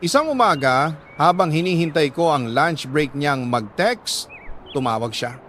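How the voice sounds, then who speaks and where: A Filipino man with a slightly high voice narrates a confessional love letter like a radio drama host. He is solemn and sentimental, leaving deliberate pauses between phrases. There is some noise in the recording behind him. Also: expressive, a bit bright.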